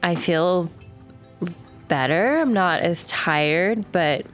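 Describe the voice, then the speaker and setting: A woman player in a tabletop game, casual and quick to react while playing her character. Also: fairly low pitch, expressive, fairly bright tone, faint music behind.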